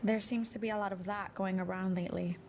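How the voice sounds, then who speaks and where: A woman's voice: mid-range voice, fairly even intonation, quick pace, heavy background noise, lightly acted. A woman playing a determined, assured female secret agent in an audio drama, speaking assertively. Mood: guarded, pressing. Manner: assertive, insistent.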